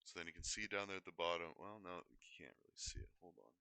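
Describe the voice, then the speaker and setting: A man's voice: sits low, lively intonation, quick pace, vocal fry, sharp tone, smooth, no echo. A man livestreaming in a rambling, unscripted way, often hesitating, digressing and correcting himself mid-thought. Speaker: livestream host.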